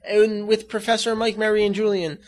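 A man's voice: high voice, flat delivery, brisk. A man hosting a casual fan podcast, chatting in a jokey way. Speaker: podcast host.

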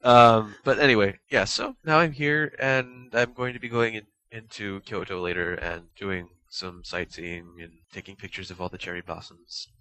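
A man's voice: fairly low pitch, fairly even intonation, moderate pace, hardly any pauses, pushed and bright, rough recording. A young man co-hosting a podcast, chatting casually in a rambling, conversational way. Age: young adult. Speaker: podcast co-host. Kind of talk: casual chat.

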